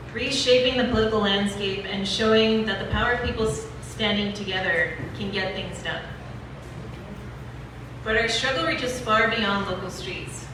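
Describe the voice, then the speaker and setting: Event presenter, female, medium pitch, scripted speech delivery, echoey recording with clear background noise. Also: far from the mic. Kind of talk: scripted speech introducing readings.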